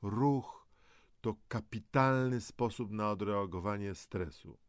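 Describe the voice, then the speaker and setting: A man's voice: on the low side, slow pace, clear, resonant tone, dry recording, clean studio sound. A man giving a scripted motivational health talk, speaking straight to the listener in an emphatic, exhorting way with rhetorical questions. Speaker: presenter of an audio health programme.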